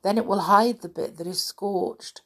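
A woman's voice: mid-range voice, steady tone, measured pace. A woman voicing a naughty, exclamatory girl doll in a children's storybook, calling out and pleading. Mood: contrite. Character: naughty girl doll, loud, panicky, later contrite.

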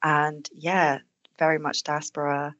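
A woman born in Denmark with East African heritage speaks on a podcast panel. Her voice sits very low, and she talks in a reflective, candid way. The recording is dry. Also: flat delivery, slow pace, fairly bright tone, dry recording, dull audio. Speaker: panel guest, consultant. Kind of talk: conversational podcast discussion.

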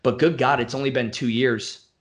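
A man's voice: mid-range voice, fairly even intonation. A man who owns a gym, talking bluntly and profanely in a casual podcast conversation. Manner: blunt, profane, fast-flowing rants. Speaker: gym owner.

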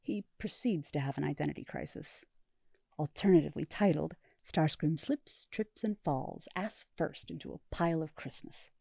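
Woman narrator, low and gravelly, calm storyteller, dry close mic. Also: moderate pace, no room sound. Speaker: podfic reader. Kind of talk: audiobook narration.

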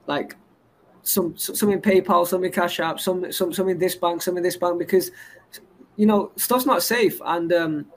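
A man's voice: high voice, normal speed, a little hiss or hum, rough recording. A man, a guest rambling through his business credentials in a casual interview. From England. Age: 20s. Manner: boastful and rambling, stacks up credentials. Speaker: guest entrepreneur.

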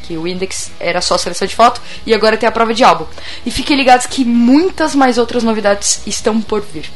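A woman co-hosting a casual talk show, hoarse this week, chatting loosely and laughing often. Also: fairly high pitch, quick pace, soft background music. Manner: laughs often, relaxed banter. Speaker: podcast co-host. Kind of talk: casual chat and sponsor read.

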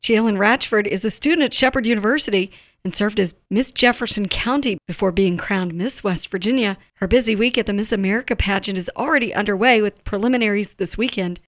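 A woman's voice: medium pitch, brisk, dry recording, studio quality. A woman hosting a radio show, presenting items and announcements to listeners.

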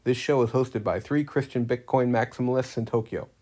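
A man, one of the podcast hosts, reading the scripted show introduction in a steady announcer manner. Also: medium pitch, a little fast. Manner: steady read-out announcer delivery. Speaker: podcast host.

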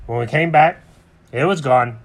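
A man's voice: medium pitch, normal speed, close to an everyday voice. A man voicing an earnest, mild-mannered leader pigeon who explains things hesitantly in a children's story. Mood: wistful.

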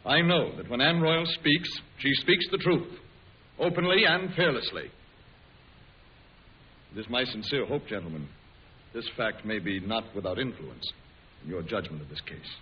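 An actor playing an earnest, dignified statesman giving formal, measured testimony in an old-time radio drama. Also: mid-range voice, expressive, close to an everyday voice. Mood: earnest, solemn. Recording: hissy, muffled old radio broadcast recording.

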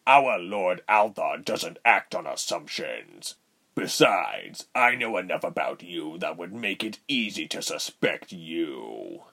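A man's voice: low voice, very animated, slow, gravelly, bright, forceful tone, pitched below the performer's own voice, exaggerated voice. A man voicing a cruel, arrogant general in a fantasy storybook, with a menacing, commanding manner. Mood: cold contempt. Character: cruel, arrogant male general, wicked villain.

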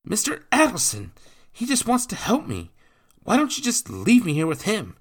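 A man's voice: high-pitched, huge pitch swings, moderate pace, husky, voice pushed up, heavily acted. A man voicing a frightened young boy who pleads to stay. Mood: pleading.

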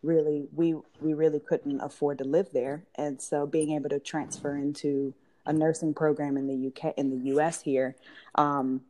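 A woman in her thirties who grew up in Georgia, telling her family story as an interview guest, pausing with ums and restarting her sentences. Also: sits low, level tone, dark tone. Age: 30s. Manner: thoughtful, many ums and restarts. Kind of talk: casual interview, personal story. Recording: noisy recording.

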